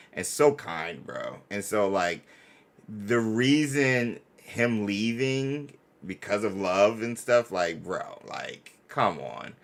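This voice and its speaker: A man's voice: fairly low pitch, a bit bright. A man hosting a gaming fan channel, chatting casually and effusively in slangy, bro-heavy speech. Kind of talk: casual live-stream chat.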